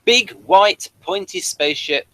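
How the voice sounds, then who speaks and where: A man's voice: sits high, animated, unhurried, bright, forceful tone, no room sound. A man hosting a casual review of a TV series, reading from notes with dry, playful asides.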